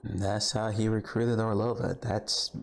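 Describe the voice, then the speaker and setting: A man's voice: fairly low pitch, level tone, measured pace, gravelly, slight background noise. A man giving casual commentary as a video game streamer. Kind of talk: casual commentary between audio logs.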